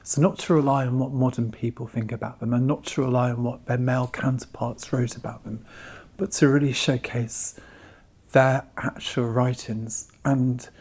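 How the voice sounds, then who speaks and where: A man giving measured, emphatic interview answers as an exhibition curator. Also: medium pitch, darkish tone.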